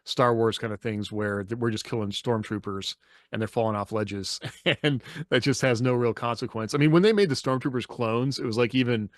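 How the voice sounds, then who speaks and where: A man's voice: mid-range voice, a little fast, dry recording, clean studio sound. A man co-hosting a podcast, talking casually with opinions in a rambling, emphatic way. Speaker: podcast co-host.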